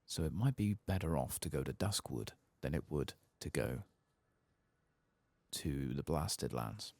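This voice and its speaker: A soft-spoken man giving relaxed, musing ASMR commentary on a game playthrough, close to the mic and at times near a whisper. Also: unhurried, gravelly, no room sound. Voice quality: soft, low-volume voice, sometimes dropping to a whisper. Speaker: gaming ASMR channel host. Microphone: close, dry microphone.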